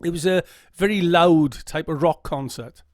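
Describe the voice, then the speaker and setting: A man's voice: on the high side, moderate pace, no echo, clean studio sound. A middle-aged man with a Welsh accent telling his own story to camera, earnest and candid, often checking in with "okay?". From Wales. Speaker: vlogger. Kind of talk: personal story told to camera. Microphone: camera mic.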